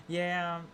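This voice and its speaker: A man from Appalachia with an Appalachian accent, chatting casually and haltingly while streaming a game. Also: sits high, fairly bright tone, a little hiss or hum. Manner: rambling, hesitant, chuckling.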